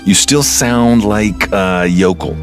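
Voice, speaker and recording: An actor playing a smug, condescending criminal mastermind in an audio drama, with a suave, theatrical delivery that stretches out words. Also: male voice, on the low side, slow pace, over music.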